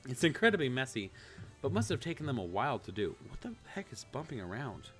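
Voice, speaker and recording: A man's voice: mid-range voice, very animated, fast talker, fairly bright tone, soft background music. A man giving casual, rambling let's play commentary and reading a visual novel aloud, easily amused. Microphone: handheld microphone on a cord.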